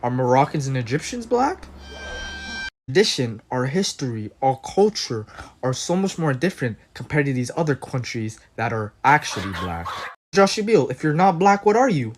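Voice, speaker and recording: A man's voice: medium pitch, very animated, normal speed, soft background music. A man making a short, assertive argument, posing questions. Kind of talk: short clip monologue.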